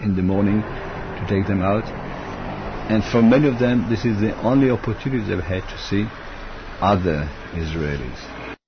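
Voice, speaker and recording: A man's voice: on the low side, animated, moderate pace, background noise. A man speaking earnestly in a documentary interview, explaining his work. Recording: dull film clip with guitar music.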